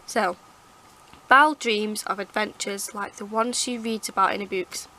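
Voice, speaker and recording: A woman with a croaky, hoarse throat, rambling chattily as she reviews her favourite books to camera. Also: medium pitch, steady tone, a little slow, sharp tone, a little hiss or hum, poor audio. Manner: rambling, chatty, lots of fillers and restarts. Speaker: book vlogger. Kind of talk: casual book review chat. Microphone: camera mic.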